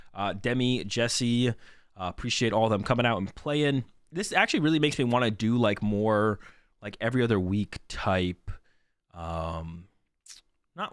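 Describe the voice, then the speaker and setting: A man's voice: mid-range voice, no echo, clean studio sound. A young man hosting a card game stream, chatting casually to his viewers while sick, his voice worn. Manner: relaxed, rambling, repeats himself.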